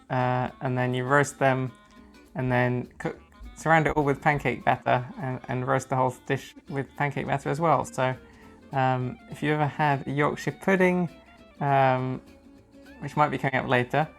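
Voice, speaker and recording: A man's voice: mid-range voice, fairly even intonation, moderate pace, gravelly. A man from the London area with a southern English accent, chatting casually and explaining things in an informal, hesitant way. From London area, England. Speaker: guest, native English speaker. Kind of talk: casual chat. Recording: music behind the voice. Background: guitar music.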